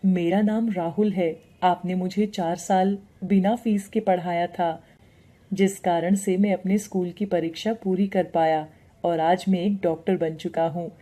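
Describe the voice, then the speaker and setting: Casting: grateful former pupil turned young doctor, polite and soft-toned, for a cartoon moral tale woman performer. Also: on the low side, fairly even intonation, unhurried. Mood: grateful, concerned. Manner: polite, caring.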